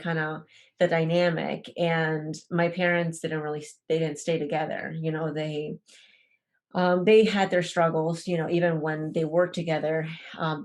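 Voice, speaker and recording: A young woman from Ecuador speaks in a low register, telling her story slowly and haltingly. She pauses often, restarts her sentences and fills the gaps with "um" and "like." It sounds like a personal podcast conversation. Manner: hesitant, many fillers and restarts. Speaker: podcast guest.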